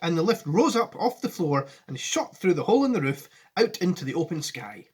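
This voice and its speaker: Very animated, husky man reading to children. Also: a little high, fairly quick. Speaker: teacher. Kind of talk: expressive children's story reading and friendly address. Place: living room at home. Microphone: device camera at arm's length.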